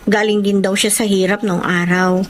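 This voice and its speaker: A woman's voice: medium pitch, flat delivery, normal speed, a little hiss or hum, close to an everyday voice. A woman acting an earnest, troubled young working daughter in a Filipino radio drama, speaking politely and deferentially. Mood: apologetic, sincere.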